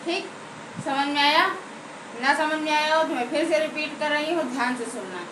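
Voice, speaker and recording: A woman's voice: sits high, normal speed. A woman with an Indian accent giving an informal grammar lesson as a teacher, explaining step by step and often checking understanding with questions. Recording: roomy echo, distant mic, clear background noise.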